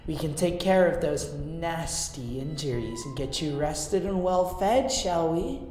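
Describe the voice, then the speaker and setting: A woman playing a motherly but dangerous lycan mercenary in an intimate roleplay audio drama, teasing in a slow purr. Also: low-pitched, lively intonation, darkish tone. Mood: coaxing, flirtatious. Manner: slow, teasing, purring endearments. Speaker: amateur voice actor. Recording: echoey reverb with music underneath.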